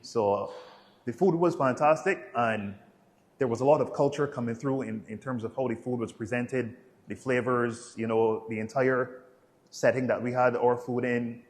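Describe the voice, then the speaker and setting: A man's voice: mid-range voice, fairly even intonation, moderate pace. A man in his thirties from Barbados with a Bajan accent, talking casually about his travels in a laid-back, colloquial way. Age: 30s. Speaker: travel vlog host. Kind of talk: casual travel narration and interview answers.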